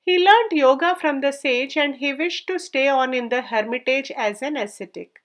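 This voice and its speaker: A woman's voice: high voice, moderate pace. A woman narrating a scripted folk tale as a storyteller, reading it aloud.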